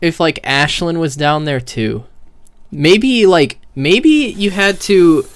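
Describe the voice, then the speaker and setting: A young man giving casual, sweary streamer commentary on game cutscenes into a close, high-gain microphone. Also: expressive, takes it slowly.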